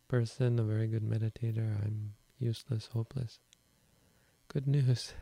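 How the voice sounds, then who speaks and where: A quiet-voiced man gives a calm, reflective Buddhist dharma talk. His voice is very dark and gravelly, with only a narrow rise and fall in pitch. The sound is dry and close. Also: dry recording. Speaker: Buddhist teacher.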